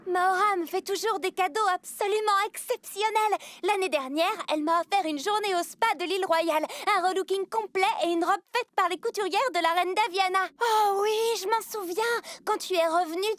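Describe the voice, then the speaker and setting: A woman voicing a cheerful, caring young sea-kingdom girl in a cartoon dub, expressive and earnest. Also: very high voice, moderate pace, few pauses, dry recording. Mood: wistful. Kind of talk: French cartoon dubbing. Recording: music behind the voice.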